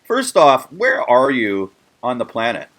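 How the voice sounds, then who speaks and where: A man's voice: medium pitch, wildly swooping pitch, measured pace, a bit bright. A man hosting a casual promotional interview, effusive and often repeating his words as he goes. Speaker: interview host.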